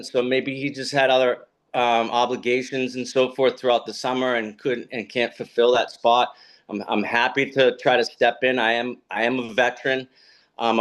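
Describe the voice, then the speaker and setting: A man in his fifties who joins a town committee meeting remotely and speaks in a halting way, full of fillers and restarts. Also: medium pitch, fairly bright tone. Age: 50s. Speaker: committee applicant. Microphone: remote call audio.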